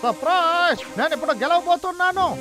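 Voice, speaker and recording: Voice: very high voice, quick pace, over music. An actor voicing a boastful, hot-tempered male hunter and logger, cocky and theatrical, in a children's cartoon dub. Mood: gloating.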